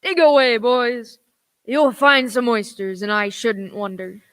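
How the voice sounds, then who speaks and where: A woman's voice: a little high, fairly even intonation, a little slow, fairly bright tone, smooth. A woman playing a sly, swaggering pirate ringleader in a radio play, with wheedling sailor talk. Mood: coolly mocking.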